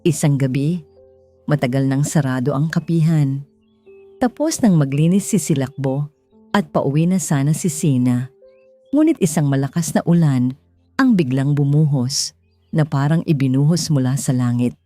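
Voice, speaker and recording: Mababa at malambing na babaeng tagapagsalaysay, Pilipino. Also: female voice, low voice, expressive, moderate pace, pauses often, warm tone. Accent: Filipino. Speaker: narrator. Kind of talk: scripted dramatic romance story narration. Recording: music under the voice. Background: background music.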